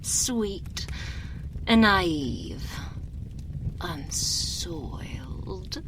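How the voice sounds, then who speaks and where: A woman playing an imperious, seductive, sinister vampire countess in an ASMR roleplay, haughty and velvety, drawing out her words and sinking into breathy whispers. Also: lowish voice, lively intonation, heavy background noise. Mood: amused, indulgent. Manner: haughty, velvety, drawn-out purring delivery with breathy whispered endings. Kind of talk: binaural ASMR roleplay, theatrical character acting. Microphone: close binaural microphone.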